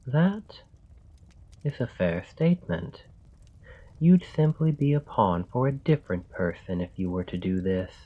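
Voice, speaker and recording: A man playing a calm, calculating royal in an ASMR roleplay, speaking in a measured, formal and deliberate way. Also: medium pitch, husky, narrow, tinny audio. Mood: bargaining, earnest. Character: calm, calculating male royal bargaining with his assassin. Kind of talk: ASMR roleplay, scripted dramatic monologue.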